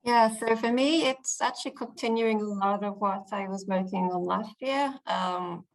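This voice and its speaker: A woman's voice: medium pitch, moderate pace, poor audio. A young woman with a British accent, answering interview questions in a casual, earnest way with frequent ums and restarts. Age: young adult. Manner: earnest, upbeat, many fillers and restarts. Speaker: interviewee, youth political spokesperson.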